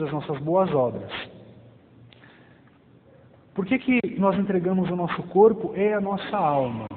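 A man in his thirties who leads a soft, quiet prayer and then gives a lively church formation talk from a lectern, recorded on a lapel mic. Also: high-pitched. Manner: prays softly with eyes closed, then lectures with rising emphasis and tag questions. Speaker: lay formation speaker. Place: small parish hall with plain walls. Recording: reverberant hall, thin phone-like sound, faint hiss.

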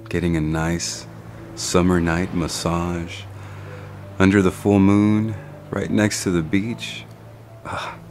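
A young man in an ASMR role-play as a doting boyfriend, speaking softly and intimately with long, tender pauses. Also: deep voice. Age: young adult.

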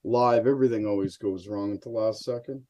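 A man's voice: fairly low pitch, brisk, dark tone. A middle-aged man giving a casual live demonstration on a video call as a hobby experimenter. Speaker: hobby experimenter, guest presenter. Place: indoor room, video call.

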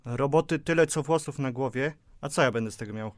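A man's voice: medium pitch, quick pace, dry recording, close to an everyday voice. A man playing a grumpy, curt and grudging workplace caretaker in an audio drama. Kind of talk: amateur audio drama acting.

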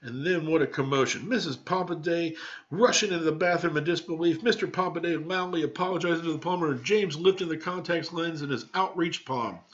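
A middle-aged man reading a children's book aloud as a teacher, pausing to explain words in plain, informal language. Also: fairly high pitch, a bit bright. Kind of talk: read-aloud storytelling with word explanations. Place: small home room with cabinets, indoors. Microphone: webcam microphone at arm's length. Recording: dull webcam microphone sound.

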